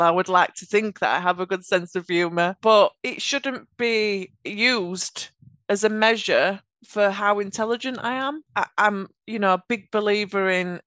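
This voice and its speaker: A woman with a Yorkshire accent, a podcast guest giving earnest, measured answers about her work. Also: medium pitch, a bit bright, no echo. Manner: earnest, measured explanations with occasional restarts. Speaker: podcast guest, automation architect. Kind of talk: conversational interview answers.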